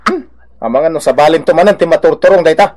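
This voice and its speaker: A woman's voice: deep voice, expressive, very fast, a little mellow. A woman playing an exasperated villager who scolds gossips, with an Ilocano accent, in a radio drama.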